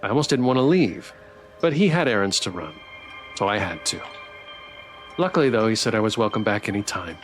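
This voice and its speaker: A man's voice: lowish voice, expressive, brisk, pauses often. Man reading a game aloud, music behind. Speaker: streamer playing a visual novel. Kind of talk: reading game text aloud with playful live commentary.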